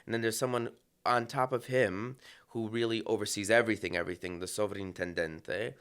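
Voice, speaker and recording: A man's voice: lowish voice, steady tone, fairly quick, a bit bright, smooth. A conductor being interviewed on a podcast, talking in a reflective way and often restarting his sentences. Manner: reflective, many restarts and fillers.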